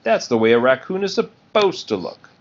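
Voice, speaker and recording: A man's voice: medium pitch, huge pitch swings, normal speed, highs cut off, pitched above the performer's own voice. A man voicing a friendly, knowing young male rabbit in a children's storybook. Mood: amused explaining.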